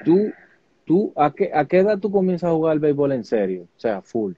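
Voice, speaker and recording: A man's voice: fairly high pitch, sing-song, fairly quick, slightly rough, dry recording. A young man interviewing a guest over a video call, friendly and often repeating words as he starts questions. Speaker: interviewer, host. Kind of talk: casual interview. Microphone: webcam, video call. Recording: dull lo-fi video call audio.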